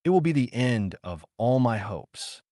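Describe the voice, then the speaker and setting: A man's voice: medium pitch, sing-song, measured pace, warm tone, no echo, clean studio sound. A man voicing an earnest, proud male scientist in an audiobook, speaking in a formal, emphatic way. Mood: anguished.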